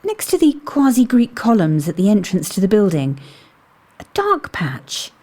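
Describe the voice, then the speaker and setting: A woman playing a calm, perceptive, mysterious investigator in a scripted audio drama, speaking in a measured, thoughtful way. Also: mid-range voice. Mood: thoughtful, curious.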